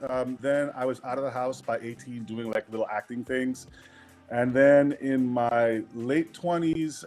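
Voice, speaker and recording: A middle-aged man from Queens, New York, a guest in a casual interview, relaxed and candid, laughing often. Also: mid-range voice.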